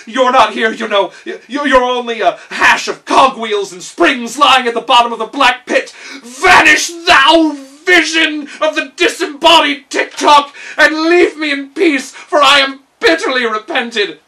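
A man's voice: very high voice, a little slow, hardly any pauses, pushed and bright, recorded from a distance, voice pushed up, exaggerated voice. A man voicing a blustering, cowardly gnome king from a storybook, theatrical and stammering. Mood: terrified. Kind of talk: storybook character voice.

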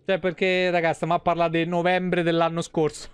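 A Roman man gives casual live gameplay commentary in a smooth, lightly high voice. He rambles in colloquial slang. The sound is close and dry. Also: monotone, a little fast, dry recording. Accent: Roman Italian. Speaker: game streamer.

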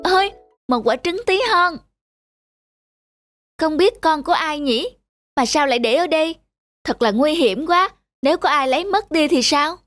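A woman voicing a kind-hearted, childish young carrot in an expressive cartoon style. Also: high-pitched, normal speed, long pauses, dry recording. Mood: cheerful. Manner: expressive, talking to self. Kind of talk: cartoon voice acting. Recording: loud music under the voice.

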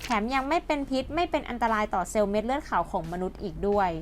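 A woman's voice: medium pitch, lively intonation, fast talker, slight background noise. A woman hosting and narrating a scripted educational piece, explaining politely to her viewers. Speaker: host and narrator.

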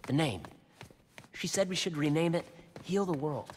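A man's voice: fairly high pitch, normal speed, a little hiss or hum, lightly acted. A man voicing a friendly, nervous teenage schoolboy sidekick in a video game, casual and chatty.

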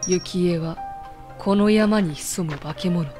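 Solemn actress as fairy tale narrator over loud music. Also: female voice, lowish voice, normal speed, close to an everyday voice. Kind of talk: scripted dramatic game narration. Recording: loud game music behind.

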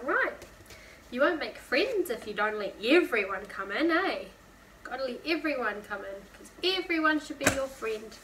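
A woman in her twenties reading a picture book aloud to young children, expressive and asking listeners questions. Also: fairly high pitch. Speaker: preschool storytime reader. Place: indoor room, seated beside a whiteboard. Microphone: camera a few feet away. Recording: distant camera mic, faint room noise.

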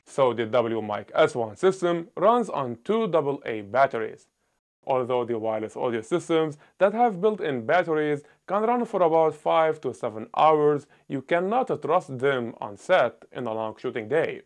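A man in his thirties talks loudly and emphatically through a scripted review of camera gear. His voice sits a little higher than most men's. It is picked up by a clip-on lapel mic on a small indoor set. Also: big pitch swings, normal speed. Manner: loud, emphatic delivery.

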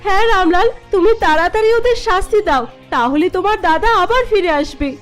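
A woman's voice: very high voice, animated, moderate pace, soft background music. A woman voicing a distraught, devoted village wife in a cartoon fairy tale, speaking plaintively and melodramatically. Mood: urging. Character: distraught, devoted village wife fretting over her missing husband.

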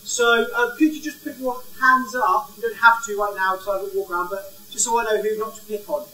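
A man in his thirties speaks with a very high, bright voice. He paces and gestures, asking the audience questions. He is recorded without a mic, from a distance, in a roomy hall. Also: a little fast. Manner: energetic, walks around gesturing, asks the crowd questions. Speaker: head of school leading an icebreaker. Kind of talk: interactive talk without a microphone. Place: large indoor hall. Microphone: no mic, far camera.

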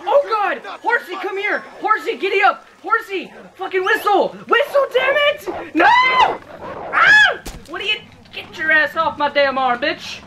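A man in his twenties doing loud, profane let's-play commentary in his bedroom, his voice going hoarse. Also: very high voice, huge pitch swings, measured pace, very noisy. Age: 20s. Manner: loud, profane, yelling at the game. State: losing his voice. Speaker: gamer. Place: bedroom, indoors.

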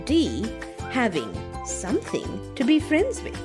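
A young woman reading to children as a storyteller, deliberate, teacherly and very expressive. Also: fairly high pitch. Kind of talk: scripted children's read-aloud and questions. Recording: music behind. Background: music.

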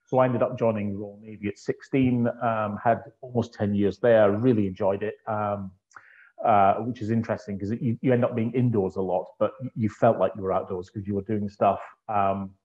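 A Scottish man in his fifties from the northeast of Scotland, chatting as a guest over a video call with an earphone mic and telling his story in a relaxed, anecdotal way. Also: lowish voice, normal speed. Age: 50s. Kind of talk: conversational interview. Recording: dull lo-fi video call audio.

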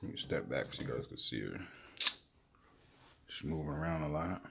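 A man filming a casual home vlog, excitedly showing a new pet and saying he is sweating while he handles it. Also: rumbling low, vocal fry, background noise. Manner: excited, admiring, unhurried. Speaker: reptile keeper vlogger. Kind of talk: casual handheld show-and-tell vlog. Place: indoors at home, by a table. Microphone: handheld phone camera, off-screen speaker.

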